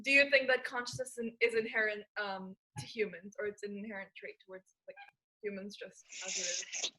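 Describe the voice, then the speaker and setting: A woman's voice: medium pitch, steady tone, fairly quick, pushed and bright, poor audio. A young woman hosting a casual discussion podcast, talking hesitantly with many fillers. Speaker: podcast host. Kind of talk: casual discussion on video call.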